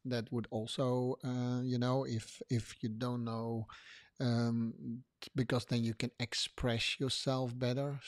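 Brief: male co-host, mid-pitched, dry close sound, thoughtful explainer. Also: a little mellow, dry recording. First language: Dutch. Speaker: podcast co-host. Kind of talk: casual conversation.

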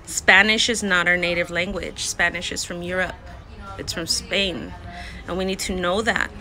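A woman in her thirties teaching vocabulary in a casual lesson, repeating each word slowly and carefully, recorded on a phone held close outdoors. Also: lowish voice, steady tone, music in the background. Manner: slow, careful word-by-word repetition. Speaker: language learner sharing lessons. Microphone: phone held close, selfie framing.

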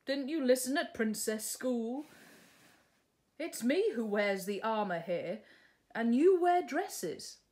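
A woman's voice: on the high side, lively intonation, slow, a little mellow. A woman voicing a boastful, bossy young prince from a storybook, grandiose and condescending. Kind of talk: storybook character reading.

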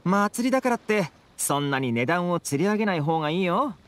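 Anime game customer: shrewd, relaxed haggler, animated intonation, casual and persuasive. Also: male voice, high-pitched, a little fast, slight background noise.

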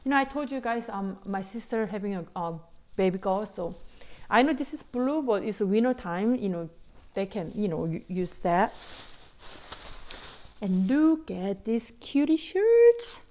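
A woman's voice: medium pitch, measured pace. Middle-aged woman, english isnt her first language, gushing about deals, says you know a lot. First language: not English. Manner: excited, simple non-native English, many "you know"s. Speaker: vlogger showing a shopping haul. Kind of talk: casual chat to viewers.

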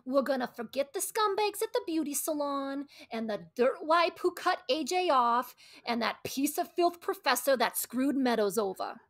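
A woman playing a brash, sharp-tongued mob boss's wife and mother in a comedic radio play. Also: high-pitched, expressive, normal speed, hardly any pauses. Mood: grand, conciliatory.

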